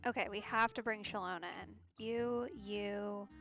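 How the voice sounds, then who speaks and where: A woman giving casual commentary as she plays a video game on stream, thinking aloud haltingly with murmured asides. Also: mid-range voice, steady tone, a bit bright. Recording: muffled lo-fi audio, faint game music.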